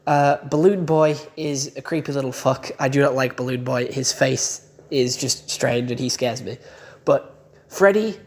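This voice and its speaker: A young man with a slightly croaky voice talks through a game review in an easygoing, chatty way. He drifts between thoughts with plenty of uhs and ums and drops in casual swears along the way. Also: mid-range voice, measured pace, slight background noise. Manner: chatty and loose, with frequent uh and um fillers and casual swearing.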